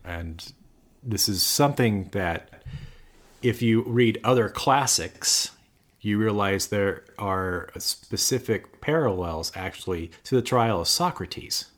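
A man with a very low voice talks through scripture in an easy podcast chat. He explains at length and tosses in dry jokes as he goes. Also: takes it slowly, fairly bright tone. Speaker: podcast co-host. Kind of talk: casual chat on faith and scripture.

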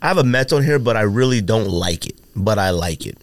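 A man hosting a casual sneaker and sports podcast, bantering in an animated, teasing way and a little sniffly with allergies. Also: on the low side, fairly quick, no room sound. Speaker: podcast host.

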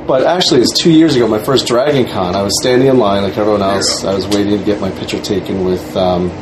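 A man's voice: fairly low pitch, fast talker. A man being interviewed, telling a casual anecdote and acting out the people he quotes. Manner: acts out quoted exchanges. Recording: noisy room with background hum.

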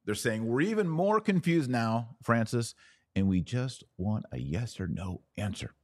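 A man's voice: medium pitch, big pitch swings, measured pace, no echo. A man hosting a podcast, reading documents aloud with emphasis and adding informal, colloquial explanations as commentary.

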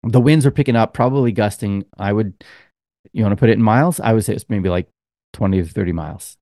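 A man's voice: lowish voice, quick pace, warm tone, dry recording, studio quality. A man co-hosting a podcast, telling a long, rambling story in casual chat with lots of fillers. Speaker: podcast co-host.

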